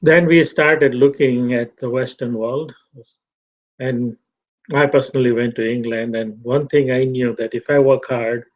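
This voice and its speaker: A middle-aged man from Tanzania giving a reflective talk to a fellowship, measured and repetitive. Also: medium pitch, steady tone. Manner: slow, measured, repeats phrases. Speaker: fellowship speaker. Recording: dull lo-fi recording.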